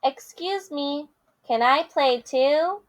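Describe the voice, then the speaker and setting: A woman's voice: high voice, slow. A woman voicing a polite, hopeful snake who wants to join in, in a picture-book read-aloud.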